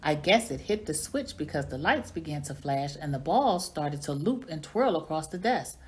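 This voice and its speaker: A woman reading a children's story aloud in an expressive storytelling manner. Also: low-pitched, normal speed. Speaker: reading coach or teacher reading aloud.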